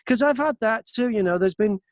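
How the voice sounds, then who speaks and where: Guy with a highish voice, casual interview chat, acts out bits of his stories, dry close recording. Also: lively intonation, a little fast, no room sound. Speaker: interview guest, audiobook narrator and voice actor.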